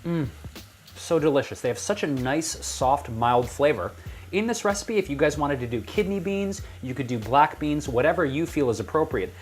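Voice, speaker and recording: A man's voice: a little high, expressive, moderate pace. An American man presenting a recipe as a cooking show host, chatty, playful and enthusiastic in his delivery. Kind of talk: upbeat recipe demonstration to camera. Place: kitchen.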